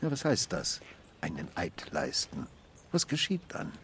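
Insistent, condescending police inspector. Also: male voice, sits low, huge pitch swings, measured pace, slight background noise, heavily acted. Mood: probing, condescending. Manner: probing, insistent, swinging between quiet and loud. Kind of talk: radio drama acting.

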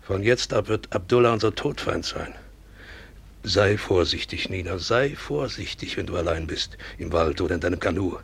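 A man's voice: deep voice, animated, normal speed, gravelly, husky, exaggerated voice. A man playing a proud, protective and bitter colonial father in a radio drama, emphatic and brooding. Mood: bitter, worried. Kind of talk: literary radio drama acting.

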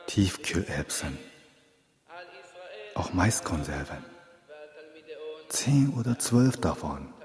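An actor playing an earnest, puzzled former assistant of a genius in a radio play, recalling things carefully in short phrases. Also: male voice, sits low, sing-song, takes it slowly, long pauses, a little mellow, faint music behind, full character voice. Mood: wondering recollection. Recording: long reverberant hall.

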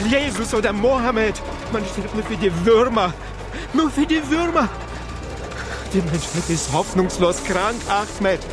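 A woman playing a bitter, despairing dream voice in a German radio drama, speaking in a declamatory manner. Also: medium pitch. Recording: music and outdoor traffic under voice.

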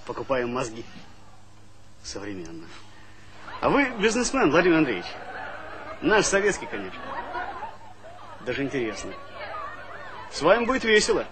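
An actor playing a brash, idealistic young engineering graduate, speaking with irony and challenge in a stage comedy. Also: male voice, medium pitch, wildly swooping pitch, moderate pace, long pauses, crowd noise, noisy background. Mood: ironic. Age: young adult. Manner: ironic, challenging. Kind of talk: Soviet stage comedy acting.

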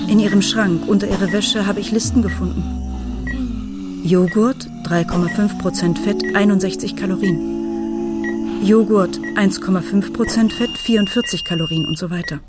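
An actress playing a middle-aged, troubled mother, narrating a radio drama in a measured, introspective way. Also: mid-range voice, fairly even intonation, natural voice. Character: troubled, watchful mother telling her story. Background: music.

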